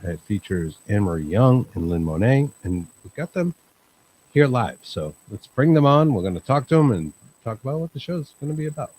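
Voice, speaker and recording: A man hosting a live chat show, talking in a calm, rambling way with many fillers. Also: medium pitch, moderate pace, slightly rough, low-quality recording. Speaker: host. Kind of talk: casual livestream chat.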